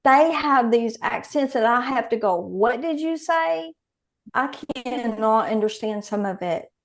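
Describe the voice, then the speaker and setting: A woman from Texas talks with a Southern accent, her voice pitched a little high. She chats casually on a video call, rambling from one thought to the next. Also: lively intonation, unhurried. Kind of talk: casual group video chat.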